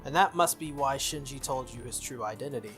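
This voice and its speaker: A man reading the lines of a sharp, proud teenage schoolgirl from a visual novel. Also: mid-range voice, brisk, close to an everyday voice. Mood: grudging, probing. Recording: music behind the voice.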